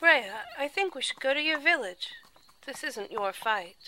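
High bright female voice, actress playing young noble lady, chatty and tender, audio drama, faint noise. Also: expressive, moderate pace, low-quality recording. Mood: earnest.

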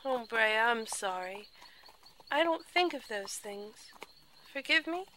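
A woman's voice: fairly high pitch, expressive, takes it slowly, bright, forceful tone, slight background noise, full character voice, low-quality recording. A woman playing a chatty, tender young noble lady in an audio drama.

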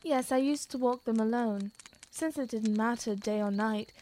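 A woman's voice: fairly high pitch, normal speed, a little mellow, slight background noise, lightly acted. A woman playing a plucky, practical young moorland guide in an audio drama, plain-spoken and resolute.

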